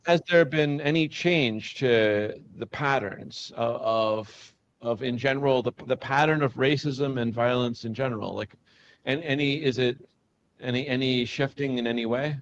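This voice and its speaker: A man hosting a podcast, rambling and stumbling over repeated words as he asks questions. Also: moderate pace. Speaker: podcast host. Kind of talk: casual interview chat. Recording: dull lo-fi with faint rumble.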